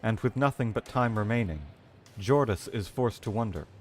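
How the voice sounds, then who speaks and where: A man's voice: lowish voice, moderate pace. A man streaming a video game, switching between casual chat and dramatic readings of game lore.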